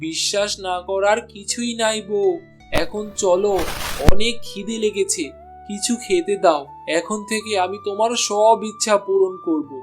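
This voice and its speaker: A man's voice: sits high, fairly even intonation, measured pace. A man voicing an honest, humble village butcher in a cartoon, with an earnest and plaintive way of talking. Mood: earnest, hopeful. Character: honest, downtrodden village meat seller, a husband. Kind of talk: Bengali cartoon dialogue. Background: soft music.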